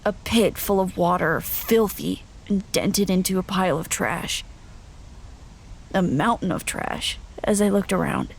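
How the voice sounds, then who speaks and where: An uneasy young wanderer tells their own story slowly and warily. The voice is plain and creaky, in audio drama narration. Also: female voice, lowish voice, full character voice. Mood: wary, recounting. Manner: plain, halting, wary.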